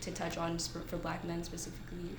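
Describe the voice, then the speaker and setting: A woman with a low, very even voice reflects casually among a group. She feels her way through her thoughts, with clear noise on the recording. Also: quick pace. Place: indoor room at a group table read. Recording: roomy echo, noticeable noise.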